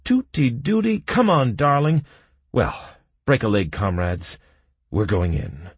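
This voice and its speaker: A man's voice: low-pitched, animated, slow, slightly rough, no echo, exaggerated voice. A man voicing a flamboyant, theatrical scheming consultant in an audiobook, grandiose and wry with an exclamatory delivery. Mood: excited, commanding.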